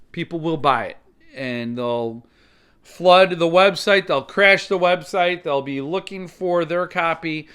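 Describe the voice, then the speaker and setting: Higher-pitched man, casual unboxing commentary. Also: a bit bright, slight background noise. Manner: conversational, opinionated, explanatory. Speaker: book collector reviewer, channel host.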